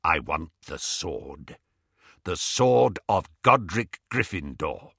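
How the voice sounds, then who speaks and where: A man voicing a stern, proud, easily angered goblin in an audiobook, speaking in a firm, deliberate, formal way. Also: deep voice, very animated, gravelly, fairly bright tone, breathy edge, dry recording, studio quality, voice pushed down, full character voice. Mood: cold, firm. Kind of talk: audiobook character voice.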